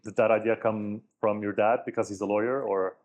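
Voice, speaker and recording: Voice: mid-range voice, steady tone, brisk, darkish tone. A podcast host introducing the show and asking a guest friendly questions. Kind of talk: interview hosting.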